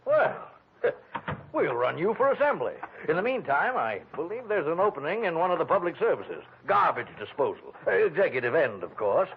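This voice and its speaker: Swooping, high-pitched pompous blusterer. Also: male voice, very animated, normal speed. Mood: jovial, condescending. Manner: hemming, jovial and condescending. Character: pompous, blustering, self-important businessman dabbling in politics. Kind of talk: 1940s radio drama acting. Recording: muffled, faintly noisy old radio broadcast.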